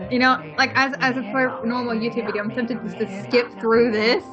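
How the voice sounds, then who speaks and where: A woman's voice: on the high side, steady tone, brisk. A woman co-hosting a let's play, chatting casually in a blunt, opinionated way and swearing freely. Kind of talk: casual gaming commentary. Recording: dull lo-fi mic, loud music behind. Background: game music.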